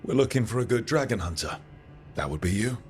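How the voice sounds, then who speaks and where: A man playing a fantasy game team leader with a low voice. He sounds steady and friendly, and music plays behind him. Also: fairly quick, close to an everyday voice. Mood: welcoming. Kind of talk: video game voice acting.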